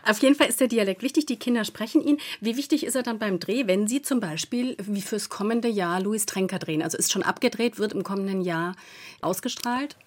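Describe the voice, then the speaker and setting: A woman's voice: medium pitch, fairly quick. A woman hosting a talk show interview, attentive and encouraging, prompting her guest with short affirmations.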